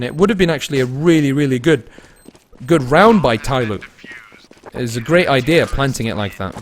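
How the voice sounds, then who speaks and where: A man commentating solo on a live game stream, mixing play-calling with casual chat to viewers and shifting between excited and low-key delivery. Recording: noisy with game gunfire and clicks. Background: game gunfire and clicks.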